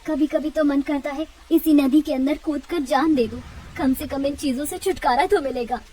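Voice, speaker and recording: A woman voicing a poor, weary young village daughter-in-law in a melodramatic, plaintive way for an animated moral story. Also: sits high, normal speed, a little hiss or hum, natural voice. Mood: despairing.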